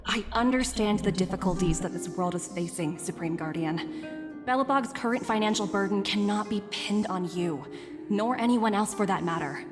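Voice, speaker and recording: A woman voicing a poised, businesslike young corporate envoy in a video game, speaking in a formal, measured, polite way. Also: mid-range voice, long reverb tail, music in the background, lightly acted. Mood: composed, firm. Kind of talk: scripted video game dialogue.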